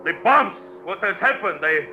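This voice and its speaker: A man's voice: sits high, expressive, normal speed, pushed and bright, heavily acted. An actor playing an arrogant male coup plotter who barks orders, in 1940s radio-drama style. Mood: frantic. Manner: barking commands, then disbelieving. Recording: muffled old radio recording with faint hiss.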